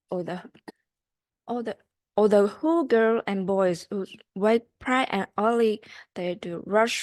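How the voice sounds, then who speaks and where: A young adult woman, a student, reading a rhyming storybook aloud haltingly, repeating words as she goes. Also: medium pitch, a little mellow, dry recording.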